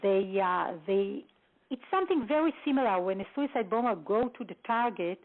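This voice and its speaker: Female expert guest, Israel-born, stop-start delivery, fry in the voice, phone audio. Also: mid-range voice, expressive. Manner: halting, with many fillers and restarts. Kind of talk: lecture-style briefing. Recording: tinny phone line.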